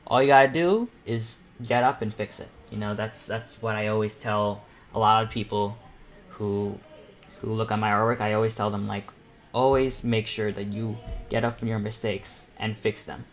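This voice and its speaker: A man's voice: on the low side, steady tone, moderate pace, faint music behind. A young man talking casually through a live art tutorial, rambling a little and repeating himself in an informal, encouraging way. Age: teenager or young adult. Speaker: painting panel host and self-taught artist. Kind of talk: casual live tutorial commentary while painting.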